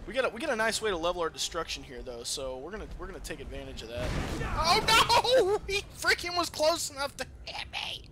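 A man giving casual let's-play game commentary in an excitable, foul-mouthed manner. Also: high voice, fairly quick, background noise. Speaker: gamer playing and commentating.

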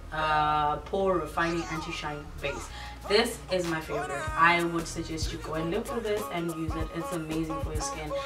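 A young woman from South Africa talks through beauty basics in a hoarse, somewhat low voice. Her delivery is chatty and self-mocking. The room is echoey, she sits back from the mic, and music plays underneath. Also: far from the mic. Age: young adult. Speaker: beauty vlogger. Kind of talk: casual makeup tutorial. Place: bright room indoors.

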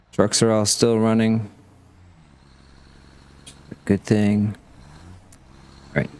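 A man casually commenting on his factory-building game as he plays, thinking aloud as he checks production. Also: lowish voice. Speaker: gaming channel host.

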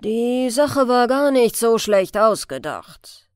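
A woman voicing a sly, sardonic male police chief inspector in an audiobook, speaking in a deliberate, thoughtful manner. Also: a little high, big pitch swings, darkish tone, dry recording, clean studio sound. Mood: matter-of-fact.

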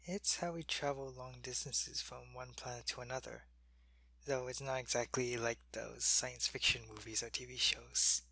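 A man playing a sick, weak male alien soldier in an ASMR roleplay. He speaks softly into a close mic and often drops to a whisper. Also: medium pitch, fairly even intonation, normal speed, gravelly, slightly rough, no room sound, exaggerated voice. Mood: weary, gentle. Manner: soft-spoken, drifting into whispers.

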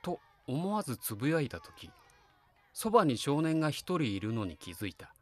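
A man's voice: a little high, sing-song, moderate pace. A man playing a kindly, wistful middle-aged family man in a Japanese voice drama, both narrating reflectively and talking in dialogue. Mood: wistful, gentle. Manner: reflective storytelling alternating with hearty, coaxing dialogue.

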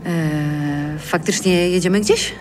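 An actress playing an unsure, modest mother in her forties in a naturalistic audio drama, talking haltingly. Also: low-pitched, over music. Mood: grateful, awkward. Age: middle-aged. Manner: hesitant, halting.

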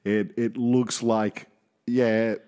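A man's voice: on the low side, little pitch movement, extremely slow, darkish tone, smooth. A middle-aged man with an Australian accent co-hosting a podcast, chatty, repeating lines for emphasis and laughing often. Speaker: podcast co-host. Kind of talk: casual chat.

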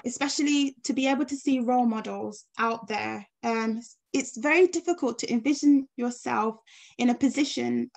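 A woman speaking as a guest on an online panel over a video call, pausing often with ums. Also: a little high, poor audio. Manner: hesitant, many ums.

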